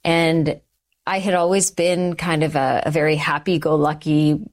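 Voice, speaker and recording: A middle-aged woman guest giving an earnest, reflective personal testimony in short, measured phrases. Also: low-pitched, level tone. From Canada, the United States and Sri Lanka. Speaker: interview guest.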